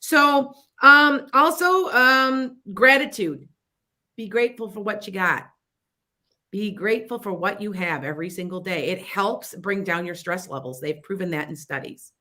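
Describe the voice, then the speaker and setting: A woman's voice: mid-range voice, unhurried, bright, forceful tone. A middle-aged woman with a Chicago accent who hosts a psychic advice show, talking in a rambling, chatty way full of restarts and asides. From Wisconsin near the Illinois border. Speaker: psychic radio show host. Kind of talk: casual advice talk on internet radio.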